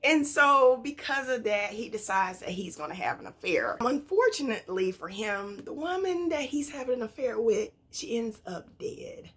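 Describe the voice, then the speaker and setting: A woman's voice: a little high, sing-song, normal speed, hardly any pauses, fairly bright tone, breathy edge. A woman talking casually to her viewers as a book vlogger, telling stories in a conversational way and tossing out rhetorical questions. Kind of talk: casual, chatty book haul presentation.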